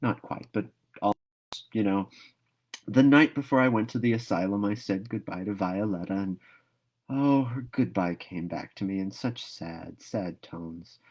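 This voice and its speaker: A dreamy young orphan girl from a storybook, voiced by a man in his low register. The delivery is gushing and wistful, full of romantic drama, as if every imagined thing were precious. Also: a little slow, darkish tone. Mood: wistful, sad. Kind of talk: storybook read-aloud.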